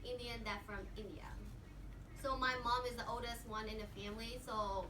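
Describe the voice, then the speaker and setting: A woman's voice: fairly high pitch, steady tone, roomy sound. Woman teacher, non-native English with Vietnamese as first language, hesitant delivery, noisy classroom audio from far off. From Vietnam–Cambodia border region. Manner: halting non-native English, slow, many ums. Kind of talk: classroom presentation to students.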